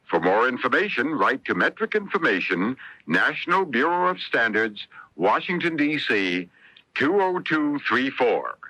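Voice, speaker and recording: Male announcer, lively and sharp, explanatory read, dry old radio sound. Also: medium pitch, a little slow, dry recording, poor audio. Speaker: public service announcer. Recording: dry 1970s radio broadcast.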